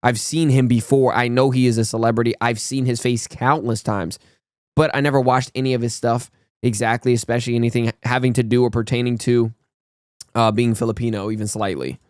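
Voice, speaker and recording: A man's voice: mid-range voice, fairly even intonation, fairly quick, a little mellow, no room sound, clean studio sound. A young man from Brazil chatting casually and rambling with slang while reacting to a video. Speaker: reaction channel host.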